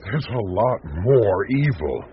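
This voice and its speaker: An actor playing a cynical, needling newspaper reporter in a 1980s radio drama, with a sardonic, pointed way of talking. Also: male voice, fairly low pitch, sing-song, slow, full character voice. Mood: indignant. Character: cynical, needling male American newspaper reporter, indignant crusader. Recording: muffled, noisy old radio broadcast recording.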